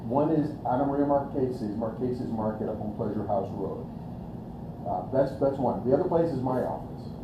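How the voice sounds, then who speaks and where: An easygoing man introduces himself with dry humor. His voice comes from across an echoey room. Clear background noise runs under it. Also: medium pitch, normal speed, far from the mic. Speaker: panelist, financial planner. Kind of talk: casual self-introduction.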